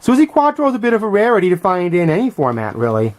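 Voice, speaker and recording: Casual middle-aged man, halting and musing. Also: sits high, wildly swooping pitch. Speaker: vlogger and music collector showing finds. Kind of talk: casual unscripted show-and-tell commentary.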